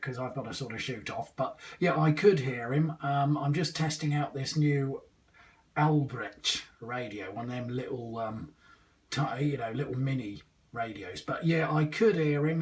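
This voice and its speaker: A man's voice: mid-range voice, far from the mic. A young man chatting casually over CB radio, hesitant, rambling and full of slang. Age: 20s. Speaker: amateur CB radio operator testing a new radio. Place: small indoor room with radio gear.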